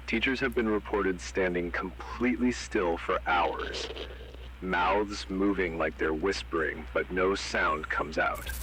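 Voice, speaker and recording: A man's voice: low-pitched, slight background noise, full character voice, poor audio. A man reading as a grim emergency broadcast announcer. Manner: flat, ominous, commanding warnings. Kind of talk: analog horror warning broadcast.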